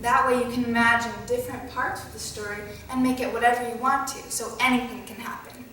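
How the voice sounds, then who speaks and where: A cheerful young storybook princess played by a woman speaks in a high voice with friendly, simple explanations for children. She sounds distant from the mic in a very echoey room, with music behind her. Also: little pitch movement, quick pace, bright, forceful tone, slight background noise. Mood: friendly, explaining. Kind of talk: scripted children's educational presentation in character.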